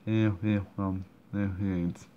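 Guy mumbling thru a game, slow and flat, stopping and restarting a lot. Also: lowish voice. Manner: hesitant, many fillers and restarts. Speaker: player commenting on a game. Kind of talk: halting casual commentary. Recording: dull muffled recording, faint room noise.

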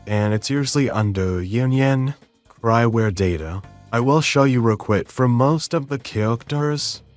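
A man narrating a step-by-step software tutorial in a casual, explanatory way, as the host of the lesson. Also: lowish voice.

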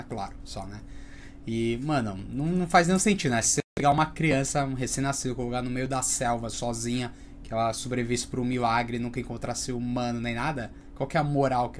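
A man's voice: mid-range voice, a little hiss or hum. A man hosting a reaction video, chatting casually and giving his opinions in a slangy way at his webcam. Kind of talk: casual commentary.

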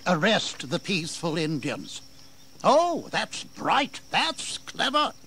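An actor playing a proud, sardonic English colonial governor in a declamatory historical audio drama. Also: male voice, high voice, big pitch swings, slow, sharp tone, breathy edge, full character voice. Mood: sardonic indignation. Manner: declamatory, sarcastic, aristocratic. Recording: faintly noisy 1980s drama recording.

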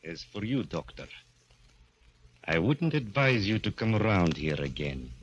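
A menacing, domineering radio bully. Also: male voice, low voice, animated, a little slow, highs cut off, very noisy. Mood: gruff, threatening. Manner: bullying, mock-polite, commanding. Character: domineering, menacing big vaudeville man, cruel guardian. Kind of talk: 1940s radio villain acting. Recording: old 1940s radio recording with music.

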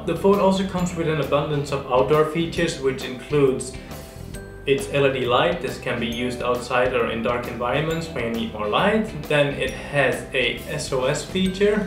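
A young man presenting an unboxing review to camera, seated at a table in an indoor studio. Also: medium pitch. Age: young adult. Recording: roomy echo, music behind. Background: background music.

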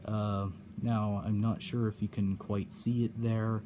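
Slow-paced, hesitant man with noise behind. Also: lowish voice, little pitch movement. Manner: hesitant, halting delivery with repeated phrases. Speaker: cassette hobbyist demonstrating a problem. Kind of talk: casual explanatory vlog. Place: indoor room at home. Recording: clear background noise.

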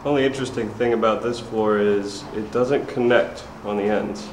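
Lowish man muttering in echoey ruins. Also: steady tone, moderate pace, a little hiss or hum. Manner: offhand, swears often, thinks aloud. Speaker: urban explorer filming alone. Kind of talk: casual muttered commentary while exploring. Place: large abandoned prison building, indoors. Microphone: camcorder mic, speaker behind the camera. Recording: echoey concrete interior with faint ambience.

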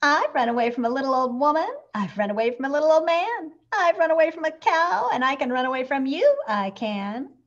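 A woman's voice: high voice, expressive, fairly quick, lo-fi sound, voice pushed up. A woman voicing a cheeky, boastful little gingerbread boy who taunts in a sing-song way. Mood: taunting.

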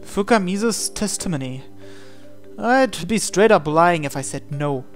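A man reading a visual novel aloud as expressive narration, in a measured, dramatic way. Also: sits high. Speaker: gaming channel host reading aloud. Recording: voice over game music. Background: game music.

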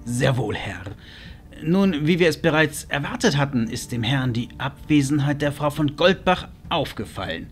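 An actor playing a nervous, deferential servant in an audio drama, with formal, servile and halting speech. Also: male voice, on the high side, expressive, faint music behind, close to an everyday voice.

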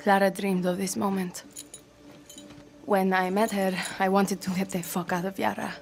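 Actress as earnest young guerrilla leader, music swelling. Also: female voice, medium pitch, little pitch movement, normal speed, gravelly, natural voice. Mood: earnest, awkward. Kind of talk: cinematic video game acting. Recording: loud music underneath.

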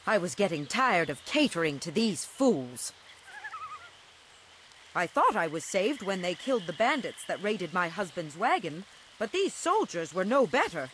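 A woman playing a sharp-tongued, indignant wife freed from captors in a video game, with a biting, sarcastic manner. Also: lowish voice, sing-song, unhurried, slight background noise. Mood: grateful, weary.

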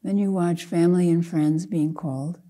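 An older woman leading a guided meditation as a Zen teacher, speaking softly and gently in short, measured phrases with long pauses. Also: on the low side, fairly even intonation.

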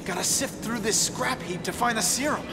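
An actor playing an earnest, wisecracking young superhero in a video game, speaking with urgency. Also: male voice, sits high, normal speed. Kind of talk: video game action hero acting. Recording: loud action music behind the voice.

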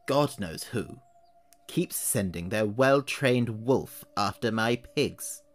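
A man voicing an old witch who complains about her neighbors at a slow, chatty pace. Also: on the low side. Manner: slow, chatty complaining monologue rising to anger. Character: old witch woman, wary, aggrieved, self-pitying. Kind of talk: audio horror story reading.

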